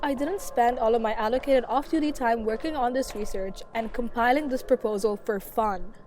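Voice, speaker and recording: A woman's voice: on the high side, moderate pace, darkish tone, soft background music, natural voice. A woman acting the part of a nervous but determined, idealistic young scientist in an audio drama. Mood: tense, irritated.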